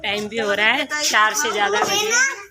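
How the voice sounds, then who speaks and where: A woman's voice: a little high, big pitch swings, moderate pace, over a noisy crowd. A woman talking casually to her viewers in a chatty vlog style as she heads home. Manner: chatty, addresses viewers as friends.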